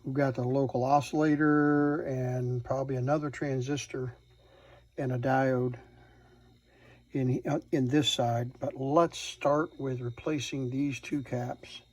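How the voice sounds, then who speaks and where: A man at an indoor workbench gives an unscripted, hands-on repair demonstration, thinking aloud in a halting way as he works. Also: medium pitch, little pitch movement, darkish tone, no echo. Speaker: electronics repair hobbyist presenting.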